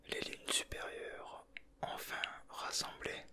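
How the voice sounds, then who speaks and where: A man whispering softly in a casual ASMR style, with a breathy, hushed voice. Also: slow. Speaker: ASMR video creator. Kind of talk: whispered ASMR, chatting and reading aloud from a booklet.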